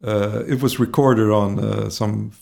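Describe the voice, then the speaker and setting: A man's voice: on the low side, steady tone, unhurried, gravelly, darkish tone. A man with a Swedish accent talking about his singing career in English, hesitant and full of fillers and repeated words. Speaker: singer, interview guest. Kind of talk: casual conversational interview.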